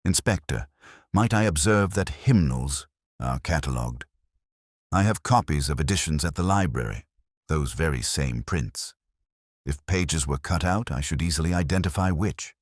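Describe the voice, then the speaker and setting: A man's voice: very deep voice, animated, moderate pace, dry recording, studio quality. A man voicing an austere, prim, proud female librarian in an audiobook mystery. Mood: prim, insistent. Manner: precise, prim.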